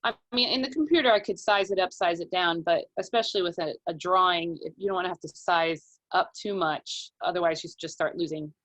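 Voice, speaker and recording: A woman with a slightly low-set voice talks casually as a guest illustrator. She explains how she works in informal, step-by-step fashion, as in a relaxed interview conversation. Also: fairly quick, few pauses.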